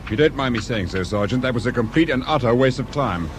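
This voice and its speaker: A man's voice: mid-range voice, lively intonation, fast talker, close to an everyday voice. A male actor plays a short-tempered British husband in a radio crime drama. He is abrupt and defensive, his mid-range voice swinging widely, with faint noise behind. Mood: irritated, defensive.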